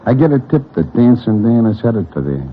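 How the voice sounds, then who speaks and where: A man playing a laconic, oddly polite Broadway gunman in a radio drama, with a husky voice. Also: mid-range voice, very animated, normal speed. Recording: narrow lo-fi radio sound.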